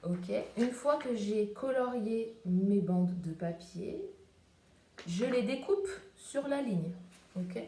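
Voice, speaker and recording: A woman's voice: mid-range voice, lively intonation, takes it slowly, dark tone. A woman teaching an art lesson to young children from home, explaining each step as she paints and cuts. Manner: step-by-step demonstrating while crafting. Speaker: preschool teacher. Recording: echoey room, faint background noise.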